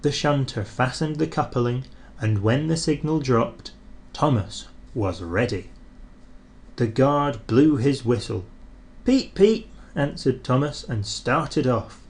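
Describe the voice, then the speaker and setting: A man reading a children's storybook aloud, expressive and measured. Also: medium pitch.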